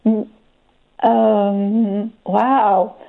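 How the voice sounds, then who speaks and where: A woman's voice: mid-range voice, little pitch movement, drawn-out words, gravelly. Hesitant woman over a tinny phone line. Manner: hesitant, hedging. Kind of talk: casual radio chat.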